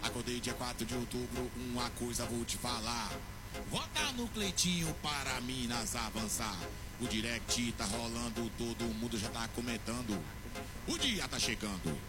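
A man's voice: mid-range voice, lively intonation, moderate pace. A man with a Brazilian accent singing a catchy political jingle in a sing-song chant. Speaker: jingle singer. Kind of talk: sung political campaign jingle. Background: loud backing music.

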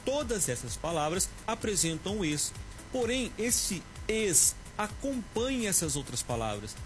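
A man's voice: high-pitched, sing-song, faint music behind. Man explaining grammar patiently on radio. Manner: patient, explanatory, repeats examples slowly. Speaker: Portuguese teacher.

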